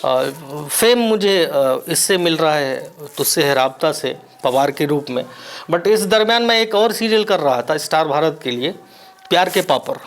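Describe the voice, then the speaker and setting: A man from Bihar whose first language is Maithili, answering interview questions as an actor in a measured, reflective way with frequent pauses. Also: a little high, animated. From Bihar, India.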